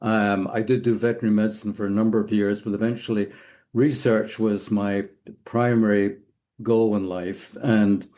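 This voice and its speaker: An older man from the west coast of Scotland with a Scottish accent, telling his story as a podcast guest in an unhurried, reflective way. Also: on the low side, warm tone. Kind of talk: casual interview talk.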